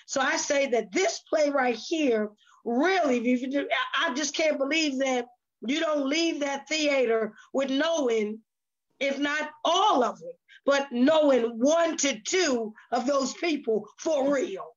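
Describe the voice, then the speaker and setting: High-voiced woman in earnest motivational talk. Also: unhurried. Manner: emphatic, repetitive, exhorting. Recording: dull, lo-fi audio.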